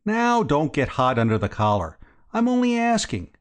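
A man's voice: fairly high pitch, wildly swooping pitch, normal speed, voice pushed up. A man voicing a stout, suspicious businessman in an audiobook, blunt and insistent in manner. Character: stout, well-fed, cold-eyed businessman, suspicious and pushy. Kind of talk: audiobook character dialogue.

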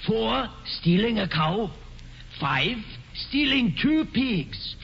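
An actor playing a slow-witted older colonial councilman who reads out the law in broken grammar. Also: high voice, big pitch swings, creaky, fairly bright tone, slight background noise, full character voice. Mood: plodding. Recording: dull old radio broadcast with reverb.

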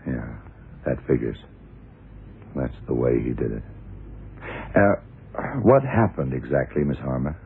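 An actor playing a blunt, self-assured police detective in a 1970s radio drama, questioning deliberately and methodically. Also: male voice, a bass voice, big pitch swings, vocal fry, narrow, tinny audio, exaggerated voice. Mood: probing, matter-of-fact. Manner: deliberate, probing, punctuated with yeah and right. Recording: noisy, band-limited old radio recording.

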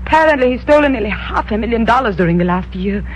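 An actress playing an earnest young female ruler of an eastern kingdom, speaking softly and formally in 1950s radio drama style. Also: a little high, animated, fast talker, lightly acted. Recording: dull old radio broadcast with hum.

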